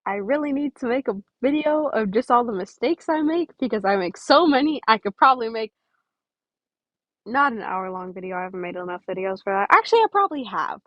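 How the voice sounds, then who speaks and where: A woman with a fairly high voice reads a story and talks casually to listeners. The home recording is dry, with a slightly dulled top end. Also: animated, brisk, dry recording, lo-fi sound. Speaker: podfic reader.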